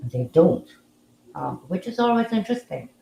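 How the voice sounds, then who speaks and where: This woman hosts a casual live audio room with a somewhat low voice. She talks in a relaxed, musing way and fills her pauses with ums. The sound is roomy and echoey, with faint ambience behind her. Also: vocal fry, a little hiss or hum. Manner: relaxed, musing, with frequent fillers. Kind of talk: casual live-stream chat.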